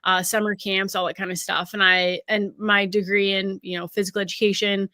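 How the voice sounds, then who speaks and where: A woman's voice: mid-range voice, little pitch movement, a little fast, pushed and bright, dry recording. A woman in her thirties from Canada, a college recruiting scout chatting as an interview guest in an upbeat way with lots of fillers. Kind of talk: casual interview conversation.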